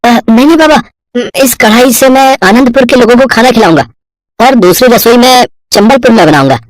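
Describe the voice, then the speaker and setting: A man playing a kind, earnest young poor villager in an animated moral story, eager in manner. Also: very high-pitched, fairly quick, no room sound.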